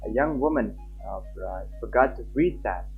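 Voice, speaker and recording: A man's voice: medium pitch, lively intonation, moderate pace, creaky. A young man chatting informally on a game livestream and reading text aloud. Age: young adult. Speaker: live streamer. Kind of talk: casual game stream chat and reading aloud. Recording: slightly muffled, lo-fi stream mic. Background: game music.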